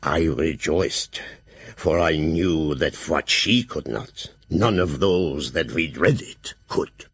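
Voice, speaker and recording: An actor playing a grave, fearful old professor who hunts vampires, narrating his journal solemnly in an audio drama, with a gravelly, husky voice. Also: very deep voice, lively intonation, slow pace, dry recording, exaggerated voice.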